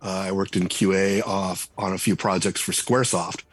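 Low, husky-voiced man telling stories. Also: moderate pace, no echo. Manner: relaxed storyteller, many restarts and fillers. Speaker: game writer and designer guest. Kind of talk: casual interview talk.

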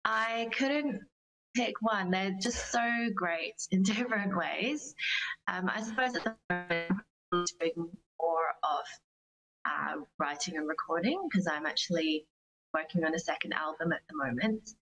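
Very low-pitched Australian woman. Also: measured pace, a bit bright, rough recording. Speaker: interview guest, singer-songwriter. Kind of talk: casual interview chat.

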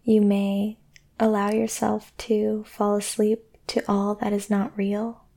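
A woman guiding a sleep meditation in a soothing, gentle way, speaking deliberately with long pauses. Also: medium pitch, monotone, vocal fry. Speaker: meditation guide and podcast host. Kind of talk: guided sleep meditation, yoga nidra.

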